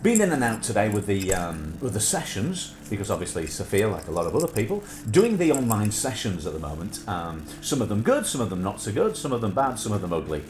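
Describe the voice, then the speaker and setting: A man's voice: fairly low pitch, wildly swooping pitch, a little fast, hardly any pauses, noisy background. Livestream host: man, middle-aged, nasal from sinusitis, swooping intonation, rambling delivery, echoey room. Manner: rambling with frequent filler hesitations. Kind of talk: casual livestream chat.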